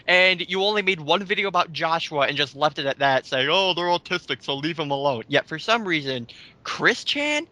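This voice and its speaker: A man's voice: on the high side, lively intonation, moderate pace, sharp tone, no room sound. A blunt, sarcastic, foul-mouthed man giving mocking commentary on an online post with others.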